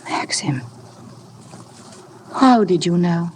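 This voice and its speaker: An actress playing an earnest, devoted young wife in a 1950s radio drama, with a breathless, emotional manner. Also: female voice, on the low side, animated, drawn-out words, darkish tone. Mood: distressed devotion. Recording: noisy old 1950 radio broadcast.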